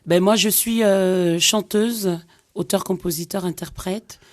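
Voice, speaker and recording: A woman's voice: fairly low pitch, steady tone, unhurried. A middle-aged woman from Cameroon, a singer being interviewed on radio, speaking hesitantly with many fillers. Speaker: guest singer. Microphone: studio microphone.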